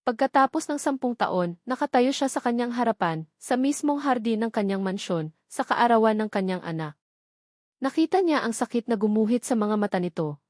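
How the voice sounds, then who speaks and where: A woman's voice: mid-range voice, darkish tone, no room sound, studio quality. A woman narrating a scripted dramatic story in a solemn, melodramatic manner.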